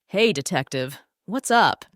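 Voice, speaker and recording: A woman's voice: fairly low pitch, animated, a little slow, a bit bright, no room sound, studio quality. A woman voicing a flashy male sports car, cocky but defensive, in a children's storybook. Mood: breezy.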